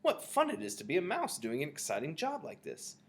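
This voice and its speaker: A man's voice: on the high side, wildly swooping pitch, brisk, slightly rough, voice pushed up, heavily acted. A man voicing a small, gleeful, adventurous boy turned mouse, exclaiming in wonder in a storybook reading.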